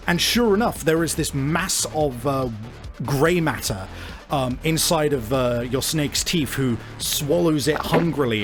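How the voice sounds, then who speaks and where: A man hosting and game mastering, improvising vivid descriptive narration for a tabletop game. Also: mid-range voice. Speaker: game master and podcast host. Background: background music.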